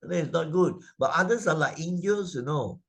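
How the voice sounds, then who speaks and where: A man's voice: fairly high pitch, animated, moderate pace, creaky, fairly bright tone. A man with a Malaysian English accent, a Sunday school teacher explaining the lessons of a children's story in a chatty way, with many fillers and tag questions. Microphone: video call presentation mic.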